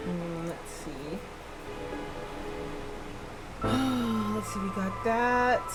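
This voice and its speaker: Unhurried woman streamer over clear music. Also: mid-range voice, low-quality recording. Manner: hesitant, thinking aloud in fragments. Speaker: livestream host. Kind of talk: casual live game-stream chat with viewers. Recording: background music under the voice.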